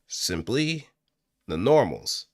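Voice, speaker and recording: A man talking casually and off the cuff as he explains voice acting, thinking aloud in an animated, demonstrative way. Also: lowish voice, slow pace, no room sound, clean studio sound. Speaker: amateur voice actor. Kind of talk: casual explanatory talk, improvised voice acting tutorial. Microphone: close studio microphone through audio interface.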